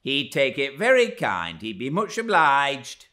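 A man's voice: mid-range voice, wildly swooping pitch, unhurried, bright, forceful tone, pitched above the performer's own voice, heavily acted. A man voicing a plain-spoken, deferential female house servant in an audiobook. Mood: brisk, deferential. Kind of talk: dramatised audiobook reading of a classic novel.

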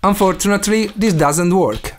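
A man's voice: sits high, moderate pace, a little hiss or hum. A man from Italy with an Italian accent and non-native English phrasing, narrating a scripted DIY tutorial as the host. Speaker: DIY video maker and host.

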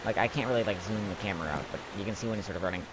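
A man's voice: lowish voice, very fast, noisy background. A young man giving casual, joking commentary while playing a video game. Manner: joking, rambling, wry asides. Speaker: let's play commentator.

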